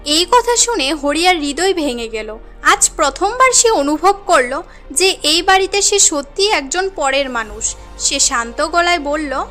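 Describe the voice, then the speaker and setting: A woman's voice: high-pitched, animated, a little slow, pushed and bright, soft background music. A woman giving scripted narration for a cartoon story as its narrator.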